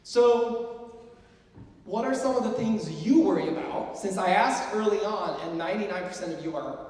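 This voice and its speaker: A man's voice: sits high, normal speed, darkish tone. A man in his forties from Sweden, presenting a workshop to music students in a chatty, self-deprecating way with plenty of fillers. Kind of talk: casual lecture to students. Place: lecture hall. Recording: roomy hall, mic far off.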